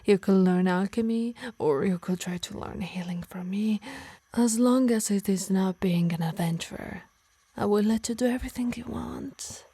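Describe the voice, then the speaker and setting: A woman voicing a possessive, doting yet menacing harpy in an intimate ASMR roleplay, teasing and coaxing softly, often in whispers. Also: medium pitch, normal speed, no echo, natural voice. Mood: doting, controlling. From Italy. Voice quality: breathy, soft. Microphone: close, dry microphone.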